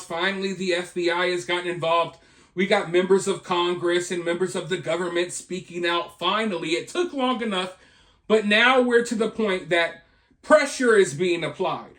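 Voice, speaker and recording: A man's voice: high-pitched, a bit bright. A man hosting an opinionated news commentary, speaking emphatically with repeated points and rhetorical questions. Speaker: video host and commentator.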